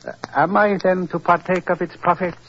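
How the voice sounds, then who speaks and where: An actor playing a dignified older Chinese prince in a 1940s radio drama, speaking formally, deliberately and with philosophical courtesy. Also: male voice, sits high, steady tone, a little hiss or hum. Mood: courteous, wounded. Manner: formal, deliberate, hesitant pauses, moralizing. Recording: husky, muffled old radio recording.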